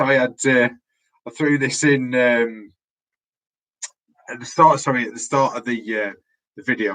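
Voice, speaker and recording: Brief: man, live stream host, voice going hoarse, chatty and rambling, laptop mic, mid-range pitch. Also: fairly bright tone, low-quality recording. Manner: rambling, restarts often, chatty. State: losing his voice. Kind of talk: casual live stream sign-off chat.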